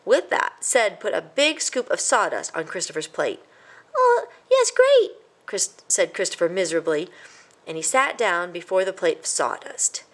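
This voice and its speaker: A woman narrating a children's storybook in an expressive reading style. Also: on the low side, normal speed. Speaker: storybook reader.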